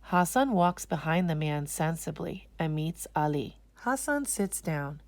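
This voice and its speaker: A woman's voice: deep voice, animated, measured pace, no room sound. A woman narrating a children's storybook as a read-aloud.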